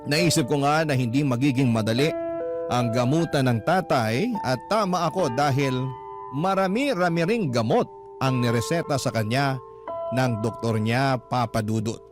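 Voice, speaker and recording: A man's voice: medium pitch, moderate pace, natural voice. A Filipino man narrating a scripted dramatic story as a storyteller. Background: loud piano music.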